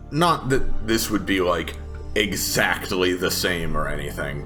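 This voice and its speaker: A man playing a tabletop game, asking out of character in a casual, tentative way. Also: low-pitched. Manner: tentative, hedging. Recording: clear music behind the voice. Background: music.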